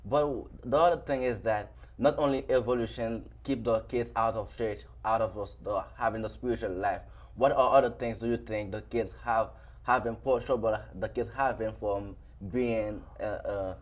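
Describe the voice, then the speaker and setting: A teenage young man interviewing a pastor, asking halting questions and rephrasing them as he goes. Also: on the low side, steady tone.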